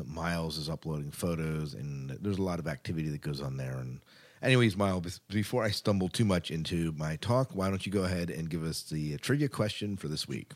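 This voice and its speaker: A man co-hosting a podcast in casual chat, rambling along informally and with enthusiasm. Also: low-pitched. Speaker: podcast co-host.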